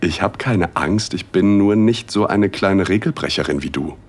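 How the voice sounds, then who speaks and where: A man narrating a first-person erotic audio drama in a hushed, intimate, unhurried way, with a husky voice. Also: low-pitched. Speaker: narrator and male lead.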